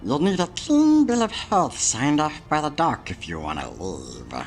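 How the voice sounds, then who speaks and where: A woman's voice: sits low, very animated, a little slow, darkish tone, soft background music, heavily acted. A woman voicing an evasive, smugly dismissive space station authority in a noir adventure game, drawing out words with condescension. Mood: dismissive, warning. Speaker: video game character. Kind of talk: noir point-and-click game voice acting.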